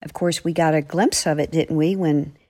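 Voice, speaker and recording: A woman with a slightly low voice offers devotional commentary. She is earnest and reflective, explaining things like a teacher. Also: fairly even intonation, moderate pace, warm tone. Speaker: author and commentator.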